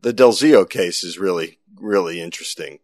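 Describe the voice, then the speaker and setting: Low-voiced American man hosting a podcast. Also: moderate pace, darkish tone, dry recording. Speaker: podcast host, interviewer. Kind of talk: conversational interview and podcast presenting.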